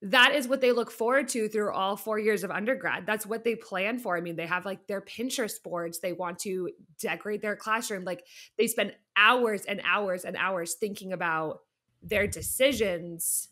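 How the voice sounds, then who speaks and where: A woman with a bright, crisp-edged voice at an average pitch speaks in a casual podcast chat. Her talk is informal and full of conversational fillers. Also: normal speed. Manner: informal, peppered with "like" and "you know". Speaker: podcast panelist, teacher.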